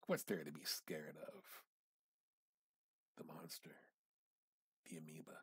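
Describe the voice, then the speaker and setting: A man reads literary fiction aloud as a dramatic practice piece. His voice is fairly low and quite husky, recorded very dry and close. He phrases deliberately and expressively, letting some words sink toward a hush. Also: dry recording. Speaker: amateur reader practicing dramatic reading. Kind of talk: dramatic reading of a literary short story.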